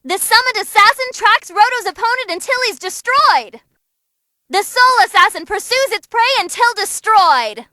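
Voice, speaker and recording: A woman voicing an excitable young female demon who commentates on tournament fights in a bubbly, theatrical way for a game. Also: extremely high pitch, moderate pace, no room sound, exaggerated voice. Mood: excited, hyped.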